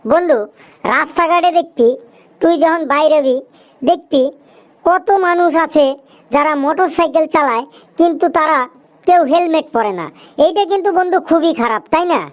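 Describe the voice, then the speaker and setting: Adult performer as chatty young cartoon pal, extremely high-pitched, eastern Bengali dialect, earnest, scolding, colloquial. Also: normal speed, long pauses, close to an everyday voice. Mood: earnest, preachy. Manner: preachy, emphatic, colloquial. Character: talkative food-loving young cartoon friend, earnest and scolding. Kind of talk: cartoon dialogue with moral advice.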